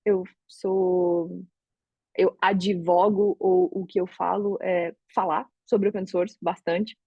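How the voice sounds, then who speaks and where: A Brazilian woman with a Brazilian Portuguese accent speaks in a relaxed, conversational way over a video call from home, often restarting her sentences as she thinks aloud. Her voice is warm and a little breathy. The sound is dry and somewhat muffled, like lo-fi call audio. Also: medium pitch, dry recording. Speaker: open source advocate giving a talk. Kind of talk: casual online talk to a community.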